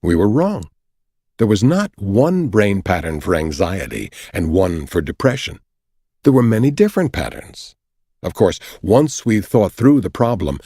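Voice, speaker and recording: Male narrator, scripted nonfiction audiobook, husky, measured explanatory delivery, very dry close studio sound. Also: deep voice, mellow, dry recording.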